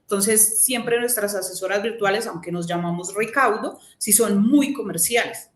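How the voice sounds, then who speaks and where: A woman speaking on a webinar panel, explaining at a measured pace with frequent fillers. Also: medium pitch. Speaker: panelist from a vacation company. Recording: echoey room.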